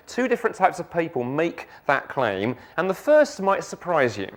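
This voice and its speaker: A middle-aged man from South London speaks with the matching accent, his voice sitting a little high. He talks as a guest speaker at an evening church service. His delivery is lively, with joking asides and rhetorical questions. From England.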